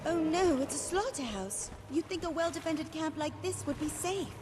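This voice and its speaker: High, plucky young adventurer played by a woman. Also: moderate pace, noisy background, close to an everyday voice. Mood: dismayed. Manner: expressive, earnest. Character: eager, plucky young female plant-folk adventurer. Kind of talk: video game cutscene acting. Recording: loud game music behind.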